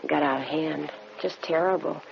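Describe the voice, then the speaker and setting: A woman playing an outwardly polite, evasive suspect in an old radio crime drama, with a creaky voice. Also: sits low, normal speed. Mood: pitying. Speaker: supporting actress in radio drama. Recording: muffled, noisy old radio recording.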